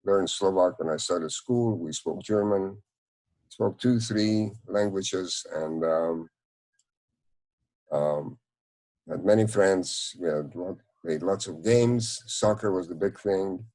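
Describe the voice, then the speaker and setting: A man in his nineties with a Hungarian accent, recounting memories in an interview in a measured, halting way. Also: deep voice, warm tone. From Czechoslovakia. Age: 90s. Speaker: Holocaust survivor, interviewee. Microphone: video call.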